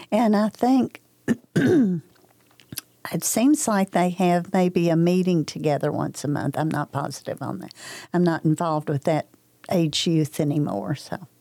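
Older kentucky lady, slow and halting, mellow dry sound. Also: lowish voice, no echo. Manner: hesitant, many ums, soft-spoken. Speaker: interview guest. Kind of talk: casual reminiscing interview.

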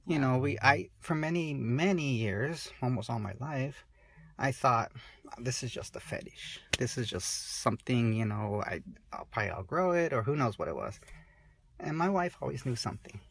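A woman in her forties speaking candidly in an interview, halting and soft-spoken, often restarting her sentences. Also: very deep voice, lively intonation, a little hiss or hum. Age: 40s.

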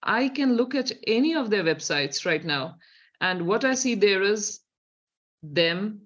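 A woman's voice: mid-range voice, lively intonation, measured pace, fairly bright tone, muffled recording. A middle-aged woman with an Indian accent, co-hosting a talk show with sardonic, questioning commentary. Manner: sardonic, questioning, reads from reports. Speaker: co-host.

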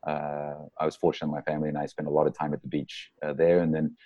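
Very deep-voiced South African man, middle-aged. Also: completely flat delivery, fast talker, mellow. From Durban, South Africa. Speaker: interview guest, soccer coach. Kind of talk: casual, reflective interview answers.

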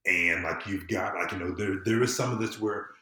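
A middle-aged American man with a low-pitched voice talks as a guest in a casual interview. He explains things like a storyteller, voicing little quotes along the way. The room around him adds a clear echo. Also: moderate pace, sharp tone. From southern United States. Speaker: podcast guest, civic empowerment consultant. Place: quiet room in a friend's home.

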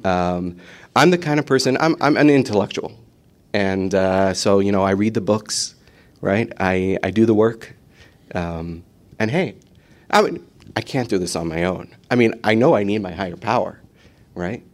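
A man sharing his recovery on a conference panel, halting often with fillers and restarts. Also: lowish voice, lively intonation. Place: conference meeting room.

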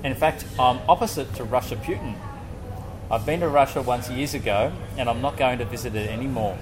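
A man's voice: medium pitch, fairly quick, crowd noise. A man co-hosting a casual podcast, reading out a guest's written answers and bantering loosely with the occasional swear word. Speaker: podcast co-host. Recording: music playing underneath.

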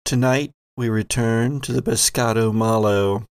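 A man hosting and narrating a tabletop horror game in a measured, theatrical way. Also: mid-range voice, fairly even intonation, clean tone, no room sound, clean studio sound. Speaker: game master and podcast host.

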